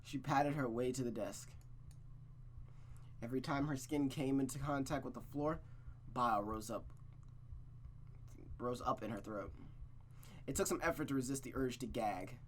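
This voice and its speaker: A man's voice: medium pitch, moderate pace, pauses often, a little hiss or hum. A man reading a visual novel aloud as a streamer, telling the story dramatically and breaking into casual, sweary asides. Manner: expressive, reactive, sweary, stumbles and rereads lines.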